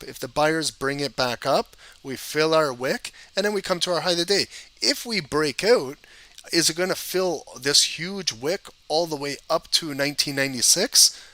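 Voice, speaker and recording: Bright-voiced man, hoarse from a cold. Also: on the high side, dry recording, low-quality recording. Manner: conversational, addresses viewers directly. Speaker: trading educator. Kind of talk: live-streamed chart analysis.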